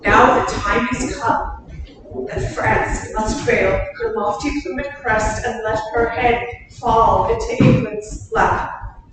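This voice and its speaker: A woman's voice: a little high, lively intonation, slow. A woman playing a fierce, desperate French warrior witch, declaiming verse in a staged Shakespeare reading. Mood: despairing. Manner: declamatory, incantatory. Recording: distant mic, very echoey hall, faint noise.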